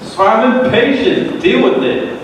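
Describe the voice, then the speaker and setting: A man's voice: extremely high pitch, unhurried. A man playing an impatient, insolent younger son in a dramatic reading, brash and sarcastic. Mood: impatient, defiant. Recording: echoey hall, distant mic, faint noise.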